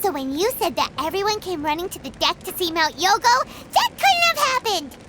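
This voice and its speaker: A woman voicing a tiny, childlike, excitable female fairy companion in a video game, with a squeaky, exclamatory delivery. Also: normal speed, heavy background noise. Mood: accusing, earnest. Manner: exclamatory, refers to self in third person. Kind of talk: video game character voice acting.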